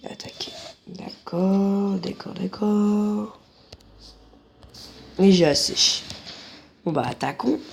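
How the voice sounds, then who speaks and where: A man's voice: high-pitched, slow pace, gravelly, long pauses, a little hiss or hum. A man with a head cold and a stuffy, nasal voice, chatting casually to viewers from his couch at home while commentating a game. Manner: chatty, jokey asides to viewers. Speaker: gameplay commentator. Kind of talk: casual let's-play commentary.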